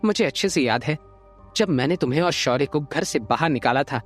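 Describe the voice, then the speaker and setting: A woman's voice: sits low, expressive, a little fast, soft background music. A woman playing a proud, defiant young wife in an audio drama, speaking in a firm, challenging way. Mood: cool, cutting. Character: proud, defiant young wife who confronts her rivals. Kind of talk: Hindi audio drama acting.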